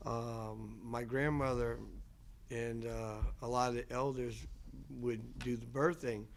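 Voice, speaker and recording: A man's voice: medium pitch, flat delivery, gravelly, slight background noise. Man, 60s, guest lecturer; reflective personal storyteller. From Louisiana. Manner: unhurried, reflective, trailing restarts.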